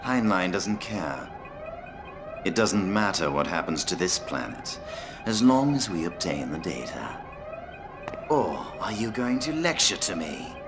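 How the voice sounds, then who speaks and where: Game villain: very deep, cold, scornful, animated delivery. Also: male voice, a little slow, background noise, full character voice. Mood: cold, mocking. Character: cold, contemptuous villain indifferent to a planet's fate.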